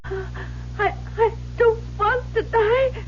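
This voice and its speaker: An actress playing a terrified woman in a radio drama, pleading for her life in gasping, halting, tearful phrases. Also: very high voice, fairly even intonation, slight background noise. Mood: tearful, desperate. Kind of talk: old-time radio drama acting. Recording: dull lo-fi vintage radio recording.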